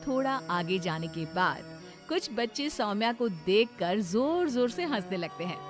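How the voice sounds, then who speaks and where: A woman reading scripted narration for an animated story. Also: medium pitch, measured pace, over music.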